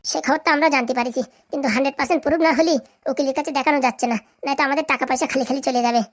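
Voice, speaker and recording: A woman's voice: sits high, steady tone, rapid-fire, natural voice. A woman voicing an earnest, eager visitor out for revenge in a comedy cartoon, speaking colloquially and deferentially. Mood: determined. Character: earnest, vengeful visitor seeking evidence.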